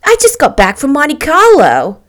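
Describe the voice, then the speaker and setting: A worldly young gossip from a storybook, played by a woman narrator. She confides eagerly and emphatically, with a very animated melody to her speech. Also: high-pitched, a little slow, voice pushed up, exaggerated voice. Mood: chatty, lively.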